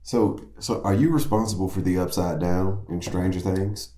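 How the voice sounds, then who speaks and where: A man hosting a playful comedic interview, speaking in theatrical, mock-formal phrases with drawn-out hums. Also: low-pitched, steady tone, warm tone, roomy sound. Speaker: talk show host.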